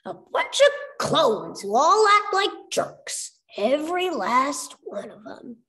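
A woman's voice: high-pitched, sing-song, slow pace, sharp tone, pitched above the performer's own voice, exaggerated voice. A woman voicing a brash, suspicious male rabbit sidekick, sneering and emphatic, with slangy speech.

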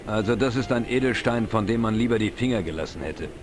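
An actor playing an older, knowledgeable, wry pub regular in an adventure game, explaining things in a dry, measured way. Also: male voice, fairly low pitch, animated, noisy background, close to an everyday voice. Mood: dry, gossipy. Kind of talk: dubbed adventure game dialogue. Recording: music behind the voice. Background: game music.

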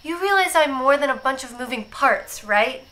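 Woman playing an anxious, high-voiced female android. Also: animated, normal speed, sharp tone. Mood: indignant. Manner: hesitant, guarded. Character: anxious, earnest female android insisting she is alive. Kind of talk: science fiction radio drama acting.

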